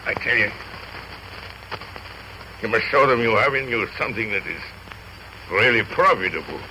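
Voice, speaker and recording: A sick, embittered trader in 1930s radio drama, obsessed and defiant. His voice sits low, with grand, fevered insistence, under the clear noise of an old broadcast recording. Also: animated, moderate pace, bright, forceful tone, full character voice, poor audio. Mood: feverish, agitated.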